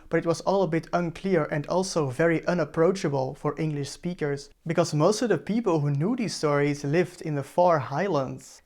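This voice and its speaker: A man hosting a channel, giving a scripted explainer narration in a measured, even storytelling manner. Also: on the high side. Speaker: host. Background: faint background music.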